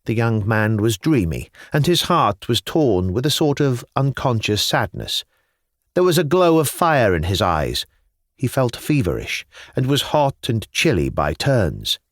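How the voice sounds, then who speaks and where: A man narrating literary fiction as an audiobook, reading in a measured, expressive storytelling style with careful phrasing. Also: medium pitch, no echo, studio quality.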